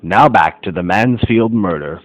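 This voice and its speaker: A man's voice: sits low, lively intonation, a little slow, rough recording. A man announcing an old-time radio mystery show in a scripted style.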